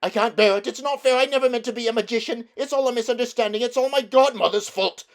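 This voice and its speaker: Shrill, wailing cowardly old gentleman. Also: fast. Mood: wailing self-pity. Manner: trembling, wailing, pompous protesting. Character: cowardly, whining old gentleman magician uncle. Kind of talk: storybook character reading.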